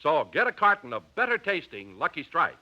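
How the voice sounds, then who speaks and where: A man's voice: a little high, huge pitch swings, a little fast, very noisy. An American man reading scripted announcements and adverts as a 1950s radio announcer. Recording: dull 1950s radio recording.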